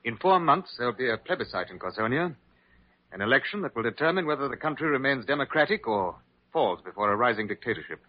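A man's voice: mid-range voice, big pitch swings, quick pace. An actor playing a grave, formal confidant of an exiled king in an old-time radio drama. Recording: dull lo-fi vintage radio recording.

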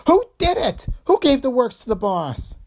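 A man voicing a tough, vengeful gangster's woman who talks in brassy slang, with a husky tone. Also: mid-range voice, big pitch swings, fairly quick, darkish tone, pitched above the performer's own voice. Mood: shrill fury.

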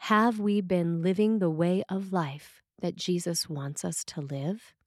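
A woman's voice: on the low side, a little slow, darkish tone, no echo. Woman reading a sermon, very dry sound. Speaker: sermon reader. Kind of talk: sermon read from a script.

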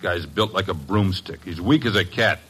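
A man's voice: low-pitched, normal speed, fairly bright tone, breathy edge. An actor with an American accent playing a hot-tempered, slangy tough guy in an old-time radio crime drama, blunt and streetwise. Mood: accusing.

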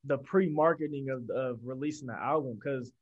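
A man's voice: mid-range voice, normal speed, lo-fi sound. A man interviewing a rapper in a chatty way, with many "like" fillers. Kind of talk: casual interview questions.

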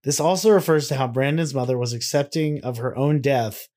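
A man's voice: medium pitch, brisk, darkish tone. A man co-hosting a podcast in a rambling, slangy, sweary way, moving between casual banter and reading aloud. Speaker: podcast co-host.

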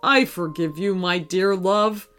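A woman voicing a big, gentle, bashful male hippo in a storybook, earnest and sentimental. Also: lowish voice, slow, faint music behind, pitched below the performer's own voice. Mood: bashful, forgiving.

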